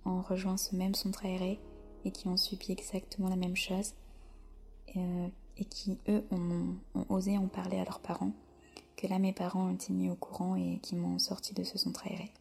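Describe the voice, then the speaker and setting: A soft-spoken French woman, a podcast guest, answering slowly with many hesitant pauses in a whispery voice. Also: lowish voice, completely flat delivery, vocal fry. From France. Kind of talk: podcast interview.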